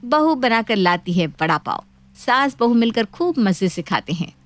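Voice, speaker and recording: A woman storyteller with a fairly high voice reads a scripted tale. She delivers it as narration for a story. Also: big pitch swings, a little slow.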